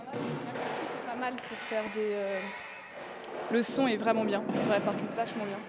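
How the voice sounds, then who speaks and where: A woman's voice: medium pitch, fairly even intonation, fairly quick, heavy background noise. A woman talking curiously about how a space sounds.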